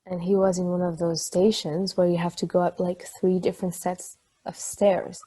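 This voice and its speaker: A woman playing a chatty partner in a table read, telling an anecdote in a rambling, conversational way with a slightly husky voice. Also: lowish voice, little pitch movement, moderate pace, dark tone. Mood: amused storytelling.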